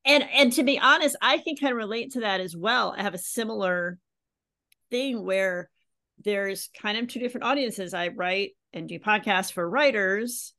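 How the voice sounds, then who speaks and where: A woman's voice: mid-range voice, unhurried, bright, forceful tone. A woman hosting a podcast interview and coaching writers, friendly and enthusiastic. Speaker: podcast host and writing coach. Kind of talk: interview conversation.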